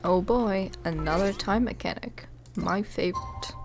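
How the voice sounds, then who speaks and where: A woman's voice: mid-range voice, measured pace, gravelly, music in the background. A woman giving casual, wry reactions while playing a video game. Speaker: gameplay video creator. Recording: noisy microphone with clicks.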